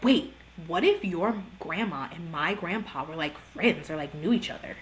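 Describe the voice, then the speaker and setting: A young woman from Georgia co-hosting a podcast, chatting casually in an animated, slangy way and laughing often. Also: on the low side, normal speed, slight background noise, low-quality recording. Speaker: podcast co-host. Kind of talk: casual chat.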